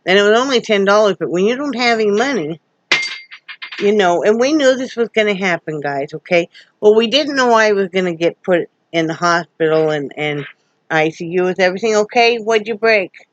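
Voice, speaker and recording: A woman's voice: medium pitch, expressive, normal speed. A middle-aged woman from Wyoming, wheezy with a sore throat, chatting in a folksy, rambling way with many fillers in her front room at home. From Wyoming, USA. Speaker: vlogger. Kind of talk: casual home vlog chat. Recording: dull lo-fi home recording.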